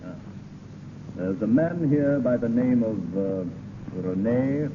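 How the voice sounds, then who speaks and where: Haughty scheming french lord, barking orders, old echoey noisy radio drama. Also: male voice, mid-range voice, lively intonation, slow pace. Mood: commanding. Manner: imperious, curt commands. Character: haughty treasonous French nobleman, curt villain. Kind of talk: 1930s radio acting. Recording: scratchy tinny 1930s radio, reverberant, noisy.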